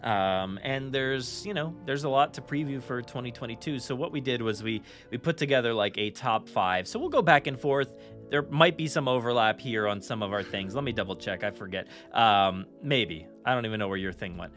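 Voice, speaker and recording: A man's voice: mid-range voice, animated, normal speed, fairly bright tone, faint music behind. A man hosting a podcast in a casual, enthusiastic, chatty and informal way. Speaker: podcast host.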